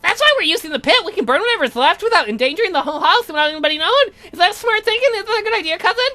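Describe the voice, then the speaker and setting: A man's voice: extremely high pitch, expressive, very fast, pushed and bright, voice pushed up, full character voice. A man voicing the gleeful, ghoulish, man-eating female cousins of a count in a horror game, with theatrical eagerness. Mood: gleeful, eager.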